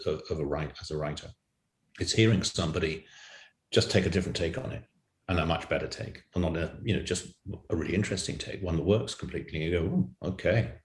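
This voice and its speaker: A man speaking conversationally as a writer in an interview, with a roomy, echoey sound. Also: sits low.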